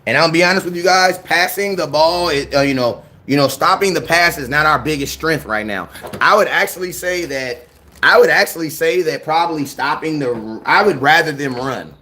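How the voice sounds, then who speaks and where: A young man hosting a casual fan show, talking in slang and repeating himself when excited. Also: fairly high pitch, normal speed, slight background noise. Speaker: sports fan show host. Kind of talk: casual live-stream chat.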